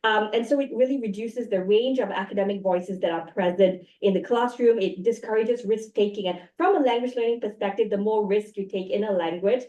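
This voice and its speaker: A woman's voice: mid-range voice, a little fast, hardly any pauses, mellow, highs cut off. A woman in her thirties speaking as a panelist in an academic discussion, thoughtful and full of fillers and restarts. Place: university event room. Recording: echoey room, far from mic.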